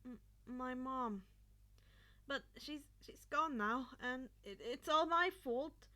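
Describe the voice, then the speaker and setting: A woman's voice: sits high, lively intonation, slow pace, pushed and bright, full character voice. A woman voicing a defensive, wounded teenage boy vigilante in an audio story, emotional and prone to stammering. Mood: tearful, hurt.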